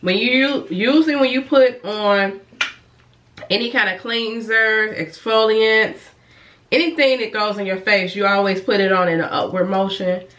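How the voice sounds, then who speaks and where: A woman's voice: medium pitch, fairly even intonation, unhurried, a bit bright. A woman who is a licensed cosmetologist, chatting casually in a rambling, self-correcting way while reviewing skincare, a little congested from a cold. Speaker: licensed cosmetologist, beauty vlogger. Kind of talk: casual product review and demonstration. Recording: echoey room, mic at a distance.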